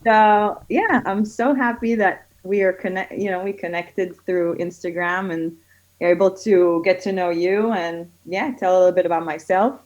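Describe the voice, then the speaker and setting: Woman from Israel, middle-aged, low voice, chatty with fillers, dull lo-fi sound. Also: moderate pace. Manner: chatty, many fillers, gets excited when telling stories. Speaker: guest, kids yoga studio owner. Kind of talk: casual podcast interview. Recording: dull lo-fi audio.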